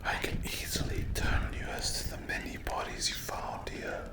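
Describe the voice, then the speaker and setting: A performer voicing a menacing, sadistic demon in a breathy, gravelly whisper, taunting theatrically in a dark fantasy ASMR roleplay. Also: lightly acted. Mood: threatening, contemptuous. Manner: slow, drawn-out, taunting, theatrical. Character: menacing sadistic demon guarding its unholy lair.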